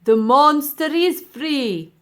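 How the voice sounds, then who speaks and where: A woman voicing a cold-hearted, gloating old male professor in a children's audiobook, with pompous, emphatic, drawn-out delivery. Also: sits high, expressive, a bit bright, voice pushed up, heavily acted. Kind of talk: children's audiobook character acting.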